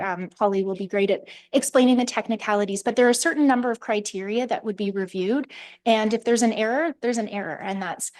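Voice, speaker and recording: A woman on municipal staff calmly explaining a procedure at a council meeting, with frequent fillers. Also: medium pitch.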